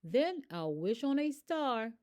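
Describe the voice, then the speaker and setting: Slow, very animated woman storyteller. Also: fairly high pitch. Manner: expressive, emphatic. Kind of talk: read-aloud children's rhyming story.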